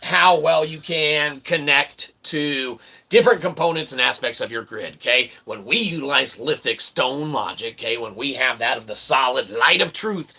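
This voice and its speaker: A man with a raspy, gravelly voice giving an improvised philosophical monologue in wordy, pseudo-formal phrasing, often checking in with short tag words. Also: mid-range voice, normal speed, few pauses, bright, forceful tone. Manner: rambling, wordy pseudo-formal phrasing with frequent "okay" and "all right" check-ins. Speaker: independent online philosophy presenter.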